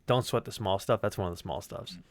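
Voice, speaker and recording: A man's voice: on the low side, brisk, no room sound. A man giving a casual, rambling walkthrough as a sound designer, with some swearing. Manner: rambling, explanatory, profane. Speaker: sound designer presenting his work.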